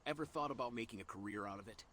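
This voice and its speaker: A man's voice: medium pitch, very fast, bright, forceful tone, a little hiss or hum, lightly acted. A man voicing a folksy, wry elemental healer who gives out quests in a fantasy game, chatty and teasing. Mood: teasing, practical.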